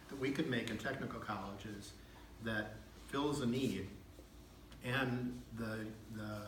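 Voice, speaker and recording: A man's voice: on the low side, fairly bright tone. A man in his fifties, a state legislator, answering at a town hall in a folksy, anecdotal way. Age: 50s. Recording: echoey room, faint background noise.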